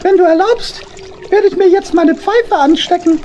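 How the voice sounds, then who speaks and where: A woman's voice: very high-pitched, normal speed, dark tone, muffled recording, a little hiss or hum. A woman playing a small, naive male hedgehog in a children's audio play, earnest and politely formal. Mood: trusting. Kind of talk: children's audio drama acting.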